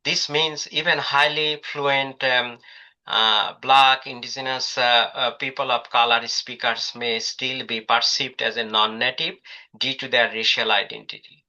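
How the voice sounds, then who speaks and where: A man's voice: mid-range voice, steady tone, unhurried, pushed and bright. A man presenting a workshop on a video call, hesitant and full of filler sounds. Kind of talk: online professional development talk. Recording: dull lo-fi video call audio.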